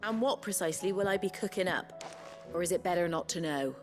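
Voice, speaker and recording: A woman's voice: on the low side, quick pace, music in the background, natural voice. A woman playing a down-to-earth, teasing cook in a fantasy video game. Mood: wry, doubtful. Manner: wry, plain-spoken.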